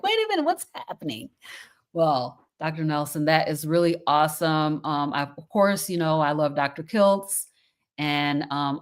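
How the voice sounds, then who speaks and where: An older woman hosting an interview show, chatty and enthusiastic, rambling with fillers. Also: sits low. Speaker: podcast host. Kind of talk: casual interview.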